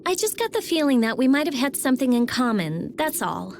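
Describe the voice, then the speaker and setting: A woman's voice: high voice, big pitch swings, fairly quick, slight background noise. A woman playing a blunt, prickly teenage girl genius in a video game, brusque and guarded. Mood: guarded, confiding. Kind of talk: English video game voice acting.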